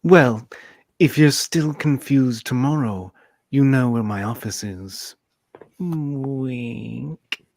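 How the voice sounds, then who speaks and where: A sly female ship's counselor , acted by a woman speaks slowly and suggestively, sounding amused. It is an amateur reading of a sci-fi radio play. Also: extremely low pitch, darkish tone, no room sound, exaggerated voice.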